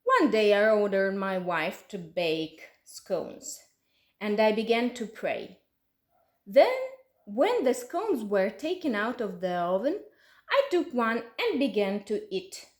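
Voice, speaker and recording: Woman as greedy rich older man, Uzbek accent, boastful, unhurried, storybook tale, echoey room. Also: mid-range voice, very animated. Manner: slow, deliberate boasting. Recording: roomy, echoey sound.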